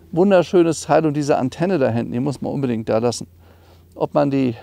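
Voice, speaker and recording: A man's voice: a little high, vocal fry, warm tone, dry recording. A middle-aged man giving an informal, enthusiastic car walkaround as a classic car dealer, explaining details conversationally.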